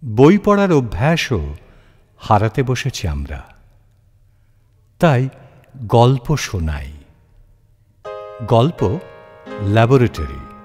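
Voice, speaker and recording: A man narrating a literary audio story in a measured, expressive, deliberate way with pauses. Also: mid-range voice, slightly rough, faint music behind. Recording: long hall-like reverb.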